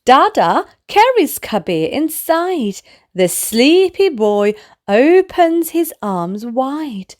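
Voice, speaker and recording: A woman narrating a children's bedtime story in an expressive way, speaking to small children. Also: sits high. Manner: animated, slow, clearly addressed to small children. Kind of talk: expressive read-aloud bedtime story for toddlers.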